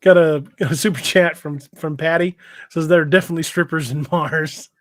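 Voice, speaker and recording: An American man co-hosting a casual livestream, talking in a measured, somewhat hesitant way. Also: on the high side, steady tone, no room sound, clean studio sound. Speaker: podcast co-host. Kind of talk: casual livestream chat, reading viewer comments.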